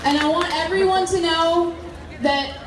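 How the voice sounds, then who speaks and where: A teenage girl giving an earnest, heartfelt speech at an outdoor school protest, raising her voice to a shout. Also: very high-pitched, steady tone, unhurried. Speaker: student speaker at a protest. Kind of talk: emotional rally speech. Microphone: phone camera in the crowd. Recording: phone recording of a noisy echoey crowd.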